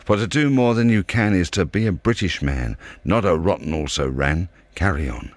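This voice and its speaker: A man's voice: sits low, lively intonation, moderate pace, no echo. A man reciting poetry with a rhythmic, emphatic delivery.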